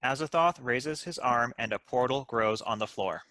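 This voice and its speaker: A man's voice: mid-range voice, normal speed, pushed and bright, no room sound. A man giving scripted narration, reading out the stage directions of a screenplay.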